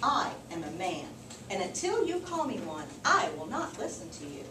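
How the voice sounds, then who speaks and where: A woman's voice: mid-range voice, very animated, moderate pace. A woman teacher reading aloud and talking with a group of children. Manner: repeats and affirms listeners' answers. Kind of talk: storybook read-aloud and class discussion. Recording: echoey, noisy room, distant microphone.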